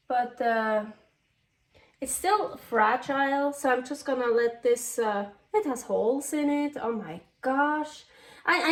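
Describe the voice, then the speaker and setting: A woman from Switzerland with a Swiss-tinged Californian English accent, chatting casually and rambling in a self-deprecating way as she cooks in her home kitchen. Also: fairly high pitch. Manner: rambling, self-deprecating, exclaiming as things go wrong. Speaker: cooking vlog host. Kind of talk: casual unscripted kitchen chat while cooking. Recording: roomy, distant mic, faint noise.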